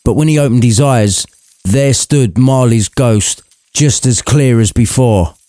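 A man's voice: mid-range voice, measured pace, dark tone, no room sound, studio quality. A man narrating a scripted comic story in a wry, irreverent manner.

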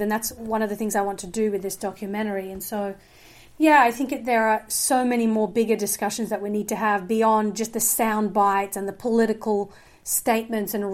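A woman, talking earnestly as a guest in a podcast interview, with many fillers and restarts. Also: medium pitch. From Australia. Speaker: guest filmmaker.

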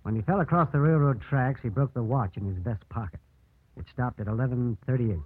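An actor playing a grave, matter-of-fact small-town doctor in a vintage radio drama. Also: male voice, mid-range voice, brisk, husky, dry recording, lightly acted. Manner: grave, factual. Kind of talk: 1940s-50s radio acting. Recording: muffled old radio broadcast.

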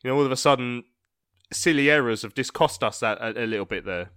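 A man's voice: medium pitch, fast, fairly bright tone, clear, resonant tone, dry recording, clean studio sound. A man hosting a casual podcast about a football club, chatting in a rambling way with plenty of fillers. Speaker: podcast host. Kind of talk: casual fan podcast chat.

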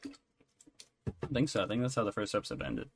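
A man talking casually as a podcast co-host, in a halting, rambling way. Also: lowish voice, sing-song, creaky, noisy background. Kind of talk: casual chat.

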